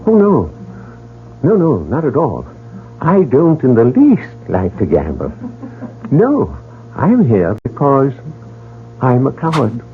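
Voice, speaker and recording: A jittery gentleman who admits he is a coward, his voice swooping wildly up and down at a slow, halting pace. It sounds narrow and phone-like, with faint mains hum. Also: mid-range voice, huge pitch swings. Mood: nervous. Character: nervous, self-confessed cowardly gentleman gambler. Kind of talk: 1940s radio drama acting. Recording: phone-like old radio broadcast.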